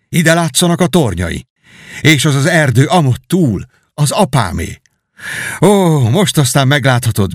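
A man reading aloud and voicing an excited young knight who returns home and talks without pause. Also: mid-range voice, no echo, clean studio sound, voice pushed up. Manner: eager, exclamatory, talkative. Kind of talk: audiobook reading.

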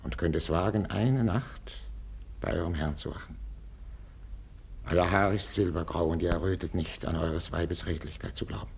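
Tormented aging king: low gravelly husky voice, imperious, suspicious, classical radio drama declamation. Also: moderate pace, darkish tone, a little hiss or hum. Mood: brooding, suspicious. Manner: declamatory, swinging between whispers and outcries. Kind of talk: 1950s radio drama acting, classical stage German. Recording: old 1950s radio recording.

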